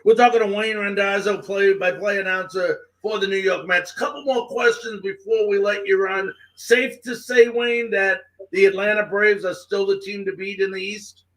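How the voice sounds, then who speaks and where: High-voiced male sports interviewer. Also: steady tone, normal speed, fairly bright tone, poor audio. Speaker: sports show host, interviewer. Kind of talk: live interview questioning.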